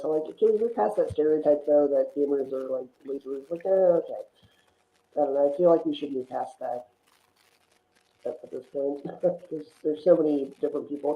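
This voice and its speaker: A man in a casual film chat, opinionated and rambling as he talks. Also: fairly high pitch. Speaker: film discussion podcast guest. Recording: roomy echo, thin phone-like line.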